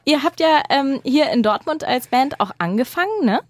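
A woman's voice: sits high, animated, normal speed, no room sound, clean studio sound. A woman hosting a podcast interview, asking chatty questions. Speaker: podcast host. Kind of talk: casual interview.

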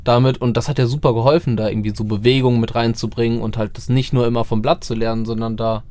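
A man who hosts a casual interview and asks questions in a relaxed, chatty way. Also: mid-range voice, fast. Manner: relaxed, chatty, laughs easily.

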